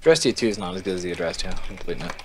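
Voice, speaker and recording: A man's voice: deep voice, lively intonation, very fast, noisy background. A man chatting informally while playing a video game, speaking emphatically as he makes his points. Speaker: co-host of a gaming chat show. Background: music.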